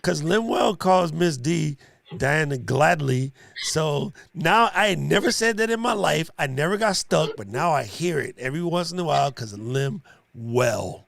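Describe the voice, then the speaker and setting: A man's voice: fairly high pitch, expressive, normal speed, creaky, a bit bright. A man hosting a casual live stream chat, playful and joking with plenty of slang.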